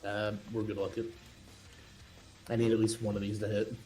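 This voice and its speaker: Low-voiced man playing a tabletop game. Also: level tone, moderate pace, warm tone, rough recording. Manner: informal, sweary. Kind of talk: casual game chat. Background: guitar music.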